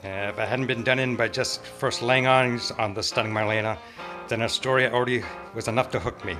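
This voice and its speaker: A man's voice: medium pitch, fairly even intonation, brisk, lightly acted. A man playing a world-weary, hard-boiled private eye narrating a noir radio drama. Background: loud music.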